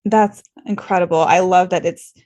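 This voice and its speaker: A woman's voice: lowish voice, steady tone, normal speed, darkish tone. A woman hosting a casual podcast interview, speaking in a reflective and encouraging way.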